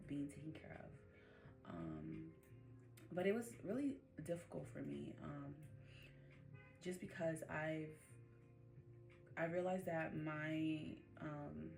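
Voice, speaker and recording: A woman's voice: sits low, gravelly, a little mellow. A young woman from the Dominican Republic telling her life story in a rambling vlog, full of ums and likes. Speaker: vlogger. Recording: echoey room with faint noise.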